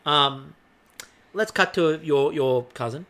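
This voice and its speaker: Bright-voiced jokey male podcast host. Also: a little high. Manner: brisk, jokey, steering the episode along. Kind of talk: casual chat recapping a TV baking show.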